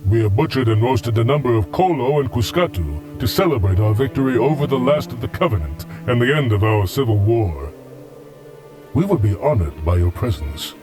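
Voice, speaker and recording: An actor playing a noble, weary alien warrior leader who speaks in formal, measured oratory for a science fiction audio drama. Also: male voice, medium pitch, animated, over music, natural voice. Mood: proud, welcoming.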